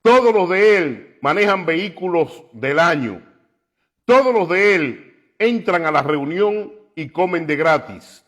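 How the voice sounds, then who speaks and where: A man preaching on the radio, slow and emphatic, climbing to loud, impassioned peaks. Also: sits high, expressive. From New York. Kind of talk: preaching commentary.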